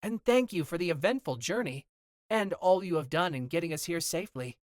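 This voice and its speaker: A man's voice: on the high side, animated, a little fast, no echo, clean studio sound, pitched above the performer's own voice, natural voice. A man voicing a curious, spirited young noblewoman with a lightly raised voice. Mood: wondering.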